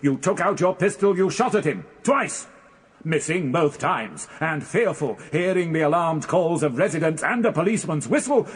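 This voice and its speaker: A man's voice: a little high, husky. A man playing a relentless, scornful British prosecuting barrister in a radio courtroom drama, accusing and formal in manner.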